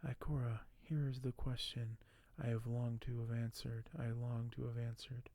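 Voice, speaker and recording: A man speaking softly and gently for an ASMR video, sometimes close to a whisper, with a halting delivery as host. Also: mid-range voice, little pitch movement. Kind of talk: soft-spoken ASMR, partly whispered; reading aloud and commenting on collectibles.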